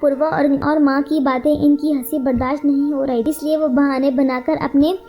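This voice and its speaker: Female narrator, very high youthful pitch, soft mellow tone, scripted storytelling. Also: flat delivery, moderate pace.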